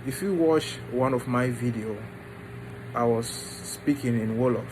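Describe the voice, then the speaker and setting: A man tells his personal story informally, in short halting phrases with pauses. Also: mid-range voice, background noise. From Ghana and the United States. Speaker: vlogger introducing his book.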